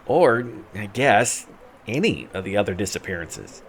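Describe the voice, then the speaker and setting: A man's voice: lowish voice, sing-song, unhurried. A fussy young man in a fantasy audio drama, acted by a male narrator. He is inquisitive and easily slighted, talking in a hesitant, pedantic way over faint background noise. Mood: curious, hesitant.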